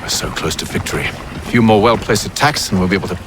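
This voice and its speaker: An actor playing a haughty middle-aged Templar leader with a sardonic, condescending manner in a video game cutscene. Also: male voice, fairly low pitch, fast talker, background noise, close to an everyday voice. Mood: cool, commanding.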